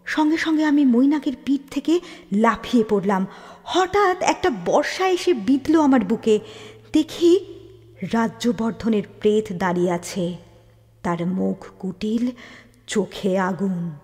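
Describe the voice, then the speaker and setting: A woman narrating a literary story in a dramatic, expressive manner, with deliberate pauses and emphasis. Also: fairly high pitch. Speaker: storyteller reading an audio story. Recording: long hall-like reverb on the voice.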